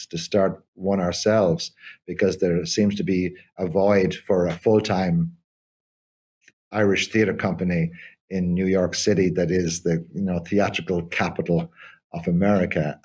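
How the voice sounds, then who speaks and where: Husky low-voiced older man, halting. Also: darkish tone. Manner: halting, with many repeated restarts. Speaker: guest, theatre company co-founder. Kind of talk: conversational interview.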